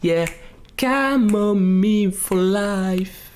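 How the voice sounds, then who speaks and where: A man's voice: high voice, drawn-out words, a little mellow. A man on a YouTube channel who tells an internet mystery in a casual, joking way and talks straight to his viewers. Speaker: YouTube storyteller. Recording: roomy sound with background music.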